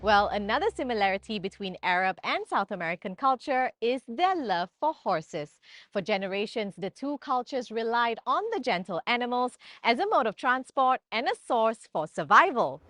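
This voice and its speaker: A woman reporter reading scripted narration for a television feature in a polished, clearly phrased way. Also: fairly high pitch, big pitch swings, normal speed, a bit bright, no echo. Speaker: presenter and reporter.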